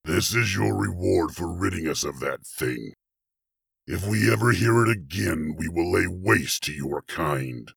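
A man voicing a huge, weary, exasperated dragon with a gravelly, strained voice and formal, labored delivery in a fantasy audiobook. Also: deep voice, lively intonation, unhurried, a bit bright, dry recording, clean studio sound, voice pushed down, full character voice. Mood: weary, stern.

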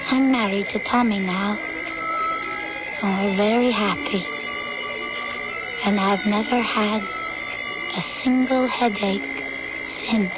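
A woman's voice: medium pitch, steady tone, slow pace, long pauses, lightly acted. An actress playing an emotional, lovelorn young woman in an old-time radio drama, speaking in an earnest, heartfelt way. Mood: wistful narration. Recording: dull radio recording with music.

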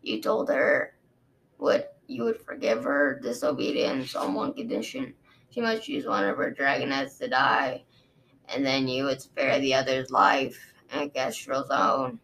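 Woman doing a low-voiced male dragon guard. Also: creaky, fairly bright tone, slight background noise, full character voice, rough recording. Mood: earnest. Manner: low, measured, earnest. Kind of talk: audiobook character reading.

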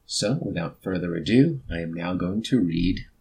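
A man speaking as a guest author, introducing his own story in a measured, somewhat hesitant way. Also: low voice, lively intonation, creaky, darkish tone, slight background noise. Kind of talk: introducing and thanking, semi-prepared talk.

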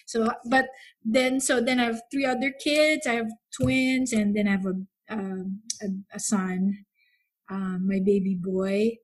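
A woman, talking thoughtfully as a podcast host with many pauses. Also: mid-range voice, flat delivery. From Philippines. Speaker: psychotherapist. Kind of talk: casual podcast chat.

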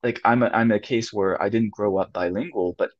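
Young American man, low-pitched, musing and halting; muffled dry sound. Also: no echo. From United States. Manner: thoughtful, many restarts and fillers, laughs often. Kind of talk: casual conversation.

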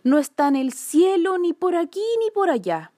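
A woman's voice: very high-pitched, very animated, moderate pace, dark tone, no echo, studio quality, pitched above the performer's own voice. A woman voicing an earnest, brave little girl in a children's storybook, polite and plaintive.

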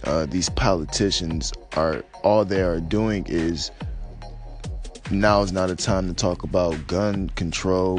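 A low-pitched American man with a slight rasp speaks off the cuff over music. His delivery is casual and laid-back, wandering and hesitant, with plenty of conversational filler. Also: darkish tone. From United States. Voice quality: slightly raspy. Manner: hesitant, laid-back, rambling with frequent "you know" and "man". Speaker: podcaster or vlogger giving personal commentary. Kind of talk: casual unscripted monologue on news and life.

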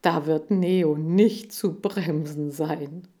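A woman's voice: low-pitched, fairly even intonation, drawn-out words, mellow. A woman voicing a grumpy, disdainful male hamster in a children's story, dry and grumbling.

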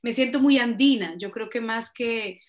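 Laughing Colombian woman, high voice. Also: moderate pace. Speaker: guest singer-songwriter. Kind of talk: radio interview.

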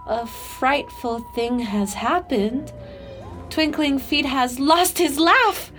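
Grave old pixie musician, acted by a woman: slow, solemn, rising and falling. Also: a little high, animated, soft background music. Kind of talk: storybook reading.

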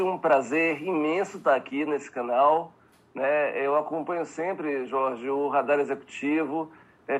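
A man's voice: a little high, muffled recording. A man from Bahia, Brazil, answering interview questions as an expert guest, eager and explanatory, often checking in with tag questions.

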